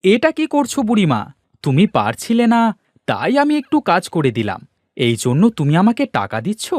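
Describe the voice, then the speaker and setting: A man's voice: high-pitched, normal speed, dry recording, studio quality, heavily acted. A man voicing a polite, helpful, hardworking village lad in a cartoon. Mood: kindly protesting. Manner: obliging, expressive.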